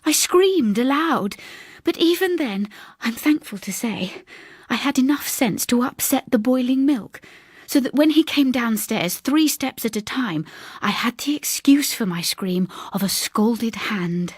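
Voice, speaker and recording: A woman's voice: a little high, lively intonation, a little slow, breathy edge, no room sound, studio quality. A woman voicing a reserved older English woman who recounts a haunting in a hesitant, confiding, precise way. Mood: haunted, fearful.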